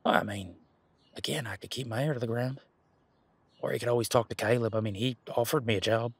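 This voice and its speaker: A man's voice: mid-range voice, breathy edge, no echo. A man playing an easygoing, protective werewolf in an audio roleplay, talking in an informal, frank and chatty way. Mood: musing, self-deprecating. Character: easygoing, protective male werewolf, imposing but responsible. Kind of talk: casual conversational audio roleplay monologue.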